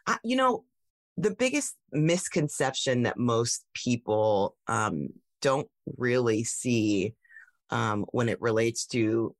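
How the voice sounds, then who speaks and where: A woman tech founder telling her story in a casual interview, animated, with many fillers and restarts. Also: very deep voice, no room sound. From United States.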